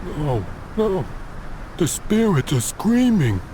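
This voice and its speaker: A man's voice: sits high, sing-song, slow pace, mellow, slight background noise, full character voice. An actor playing a superstitious, simple-minded and pitiful man in a video game, pleading in broken English. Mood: frightened pleading.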